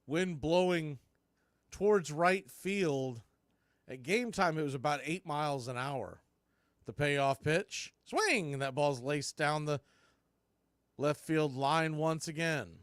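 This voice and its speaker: A man's voice: a little high, slow, dry recording, clean studio sound. A man doing live baseball play-by-play as a stream host, mixing clipped calls with folksy, joking asides and casual chat.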